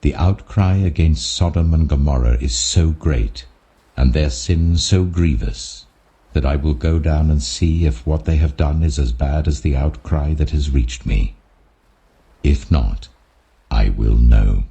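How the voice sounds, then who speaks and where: A British man portrays an almighty God of scripture storytelling, solemn and commanding. The voice is extremely low, dark and gravelly, delivered with deliberate, grave authority. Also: full character voice. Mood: grave resolve.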